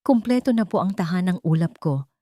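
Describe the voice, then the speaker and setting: A woman's voice: lowish voice, lively intonation, brisk, warm tone, no echo. A woman voicing a sweet, affectionate, eager little child in a storybook narration. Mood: happy, tender.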